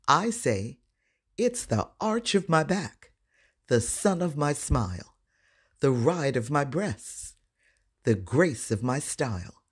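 A woman voice-over artist giving an expressive, dramatic poetry recitation with confident, deliberate phrasing and a husky voice. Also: a bass voice.

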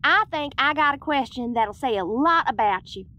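A woman's voice: sits high, lively intonation, moderate pace, bright, forceful tone, lo-fi sound. A woman playing a folksy, chatty alien widow and business boss with a Southern US accent, in an audio roleplay. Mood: friendly, flirty. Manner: folksy, chatty, self-assured.

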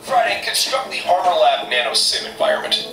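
An actor playing a confident armored superhero inventor in clipped, commanding scripted toy clips. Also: male voice, high-pitched, big pitch swings, fairly quick. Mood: confident, brisk.

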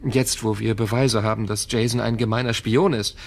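Gravelly, wary tech founder. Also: male voice, lowish voice, little pitch movement, normal speed. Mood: guarded. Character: wary, world-weary male tech company founder. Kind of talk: German audio drama dialogue.

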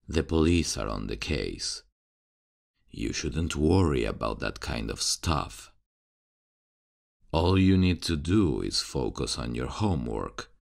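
A soothing father at bedtime, played by a man, in an ASMR roleplay. His voice is extremely deep and gravelly, he speaks very slowly, and his intonation moves lively up and down. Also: full character voice. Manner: soothing and reassuring, calls the listener honey. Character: gentle caring father at bedtime.

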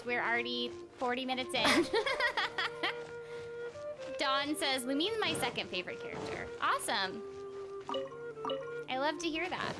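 A young woman chatting casually on a game livestream, playfully talking to the characters and her viewers. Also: high-pitched. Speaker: game streamer. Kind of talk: casual livestream chat while gaming. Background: game music.

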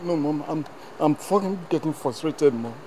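Actor playing a pleading young son. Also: male voice, fairly high pitch, big pitch swings, brisk, mellow, a little hiss or hum. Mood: frustrated. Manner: pleading, repetitive, code-switching. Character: frustrated young adult son who loves music.

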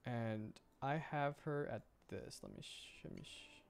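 A man's voice: medium pitch, huge pitch swings, a little slow, creaky. A man chatting casually on a game livestream, muttering and thinking aloud to himself as he plays. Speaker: VTuber game streamer. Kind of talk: casual livestream gameplay chat.

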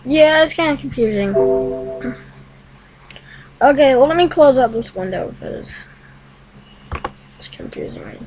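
Slow, high-pitched young teen tutorial host. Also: expressive, darkish tone, faint music behind, rough recording. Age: young teenager. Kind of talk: casual screen-recorded tutorial. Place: room at home.

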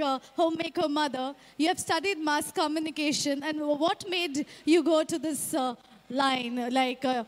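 Indian woman, event host, high voice, interviewing on stage. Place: large fashion show runway stage with audience. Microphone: handheld microphone.

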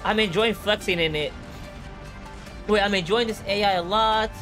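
A man's voice: high-pitched, normal speed, fairly bright tone. A man with a Brooklyn accent giving casual, joking commentary while playing a game on a live stream. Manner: chatty, joking. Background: game music.

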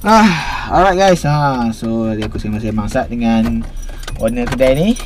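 A young Malaysian man chatting casually to his viewers in a relaxed vlog, filming himself with a handheld action camera in his car and around a shop. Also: mid-range voice, normal speed, noisy background. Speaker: vlogger.

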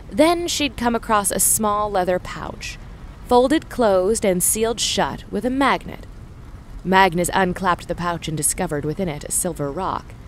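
A woman's voice: mid-range voice, a little hiss or hum. A woman reading scripted story narration for an audio drama.

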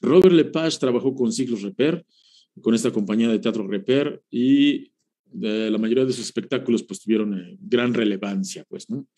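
A man's voice: medium pitch, normal speed, warm tone. A middle-aged man, a theatre professor from Mexico, giving an academic talk over a video call, with frequent "eh" fillers and self-corrections. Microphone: video call, webcam.

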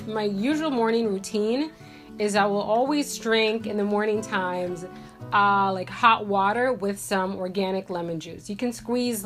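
A woman in her thirties from Jamaica, congested from a cold, chatting casually to camera in her bedroom with lots of fillers. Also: mid-range voice. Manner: chatty, rambling, many "like" and "um" fillers. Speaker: beauty vlogger. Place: bedroom at home, indoors.

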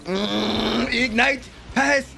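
A young American man giving excited, slangy, exclamatory live reaction commentary at a close desk mic in a room at home. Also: extremely high pitch, drawn-out words, gravelly, over music. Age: young adult. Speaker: reaction video host. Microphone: close desk microphone.

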